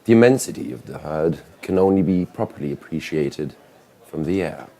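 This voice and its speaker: A man voicing a solemn, measured nature documentary narrator in a scripted parody. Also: rumbling low, animated, dark tone.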